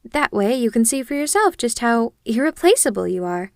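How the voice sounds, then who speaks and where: A woman's voice: on the high side, big pitch swings, moderate pace, no room sound, clean studio sound. A woman playing an obsessive, clingy yandere kidnapper in an intimate ASMR roleplay, speaking in a gushing, hesitant, awkwardly earnest way straight to the listener. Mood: earnest, insistent.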